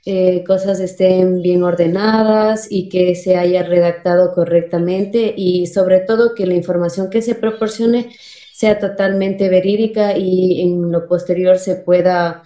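A woman's voice: on the low side, flat delivery, measured pace, mellow. A young woman with an Ecuadorian accent, explaining things as a guest in a video-call interview. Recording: roomy, echoing video call.

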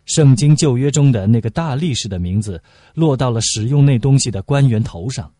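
A man's voice: medium pitch, animated, fairly quick, dark tone, no room sound, studio quality. A man reading literary prose aloud as an audiobook narrator.

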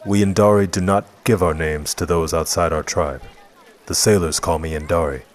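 A man doing a deep solemn young warrior. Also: steady tone, moderate pace, lightly acted. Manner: grave, plain speech. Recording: music bed underneath.

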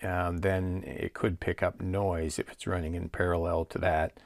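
A man's voice: low-pitched, flat delivery, a little slow, creaky, no room sound. A man giving a casual, explanatory product demonstration in a plain-spoken, matter-of-fact way.

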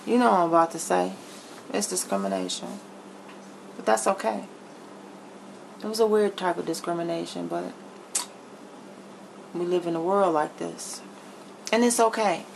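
A woman's voice: lowish voice, lively intonation, moderate pace, vocal fry, long pauses, poor audio. A middle-aged woman chatting candidly and emphatically to camera as she vlogs from her home kitchen, in a blunt way. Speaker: vlogger. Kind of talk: candid chit-chat to camera while cooking. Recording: noticeable background noise in a kitchen.

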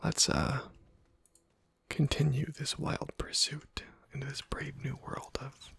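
Guy doing asmr gaming, slow whispery croaky muttering, dry delivery, right up on the mic. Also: mid-range voice, flat delivery, fairly bright tone, dry recording. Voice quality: breathy whisper. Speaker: gaming video creator. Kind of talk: whispered ASMR game commentary.

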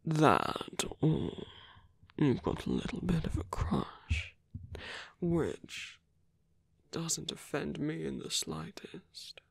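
A man playing a smooth, seductive villain in an ASMR roleplay, teasing and coaxing in a breathy voice that often drops into a whisper. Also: a little high, very animated, no echo, exaggerated voice. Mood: playful, seductive. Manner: slow, teasing, coaxing, with long pauses. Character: smooth seductive male villain tempting a captive hero.

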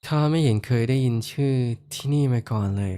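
A kindly horned giant lord, voiced by a man in a roleplay audio drama, talking straight to the listener. His voice sits in an ordinary middle pitch, mellow and warm, never loud. He soothes gently and slips into light, playful teasing. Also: brisk, close to an everyday voice. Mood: gentle, comforting. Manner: soft-spoken, comforting, playfully teasing.

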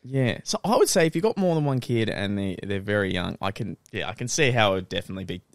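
A young Australian man co-hosting a casual podcast, swearing freely and getting loud and high when joking, close on a studio mic in a living room. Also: expressive, fast, no room sound. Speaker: podcast co-host. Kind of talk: casual chat. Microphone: studio mic on boom arm.

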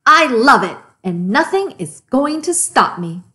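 A woman voicing an excitable, easily exasperated little boy from a picture book, in a dramatic, exclamatory manner. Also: high-pitched, unhurried, heavily acted. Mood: determined.

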